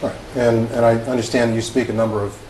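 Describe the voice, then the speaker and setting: A man acting as a questioning attorney in a deposition, polite and halting, with many restarts. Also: mid-range voice, little pitch movement. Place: deposition room. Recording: echoey room with clear background noise.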